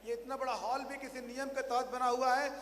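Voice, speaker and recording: A hoarse man, a motivational speaker at a company training event, giving a rousing stage speech to a large audience and firing questions at the crowd, repeating them louder. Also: very high-pitched, level tone, a little fast, a little hiss or hum. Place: large hall. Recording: echoey hall, faint ambience.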